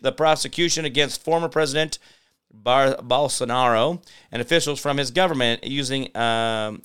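An American man hosting a talk show with rambling, off-the-cuff political commentary in a halting, sardonic, digressive manner. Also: mid-range voice, fairly bright tone, clean tone. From United States.

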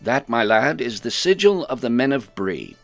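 A man's voice: mid-range voice, big pitch swings, normal speed, faint music behind, voice pushed up. A man voicing a cheerful, hearty older male hobbit who tells stories and jokes with children in a storybook reading. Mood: jovial. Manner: jovial, hearty, warmly teasing.

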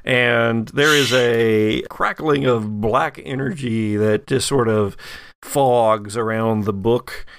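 A man working as game master, narrating a tabletop horror game in a descriptive, halting way. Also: mid-range voice, gravelly, a bit bright, no echo.

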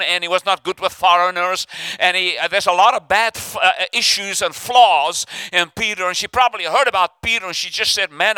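Fervent preacher. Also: male voice, high voice, sharp tone, smooth, no room sound. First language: Afrikaans. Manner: fervent, calls for responses, acts out inner voices. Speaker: pastor. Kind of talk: sermon.

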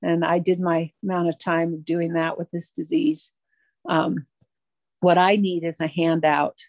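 A middle-aged woman sharing her recovery story at a twelve-step meeting, halting and reflective, with many pauses and restarts. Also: lowish voice, level tone, a little mellow, no room sound. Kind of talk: personal recovery share.

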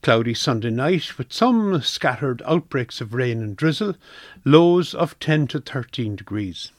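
A man's voice: medium pitch, expressive, a little slow, no echo, studio quality. A man with an Irish accent reading a scripted weather forecast on community radio.

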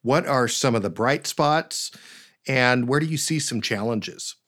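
A man's voice: medium pitch, unhurried, a bit bright. A man hosting a podcast interview over a video call, talking in an upbeat, enthusiastic way. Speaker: podcast host. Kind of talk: conversational interview.

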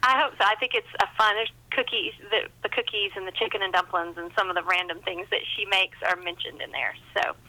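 Chatty lady author on a podcast, bright clear-cut voice, pokes fun at herself. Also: medium pitch, fairly even intonation, a little fast, hardly any pauses, low-quality recording. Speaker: guest author. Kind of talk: casual conversational interview.

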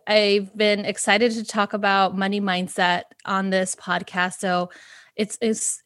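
A woman's voice: medium pitch, dry recording, studio quality. A woman hosting a podcast interview, introducing her guest and reacting with enthusiastic admiration. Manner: enthusiastic, admiring, softly reflective. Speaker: podcast host.

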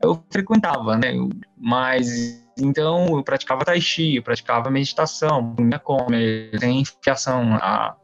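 A man's voice: medium pitch, moderate pace, creaky. A man from Brazil, a guest telling his story in a casual, rambling way that often doubles back. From born in Taiwan, raised in São Paulo, Brazil from age five. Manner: rambling, self-interrupting, seeking agreement. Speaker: interview guest. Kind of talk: casual autobiographical talk. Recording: dull lo-fi sound with music behind. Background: faint music.

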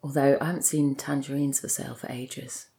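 A woman, a playwright guest, chatting casually in a thoughtful, halting, playful way. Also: a bass voice, little pitch movement, vocal fry, dark tone. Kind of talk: casual chat.

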